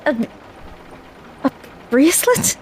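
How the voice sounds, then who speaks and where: A woman voicing a shaken commander in an audio drama, halting and uneasy. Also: sits high, very animated, slight background noise, full character voice.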